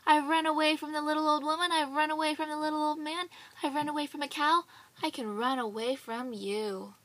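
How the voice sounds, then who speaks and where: A woman's voice: sits high, fairly even intonation, brisk, fairly bright tone, pitched above the performer's own voice. A woman voicing a small, cheeky gingerbread boy who taunts his chasers in a boastful sing-song. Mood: gleeful taunting.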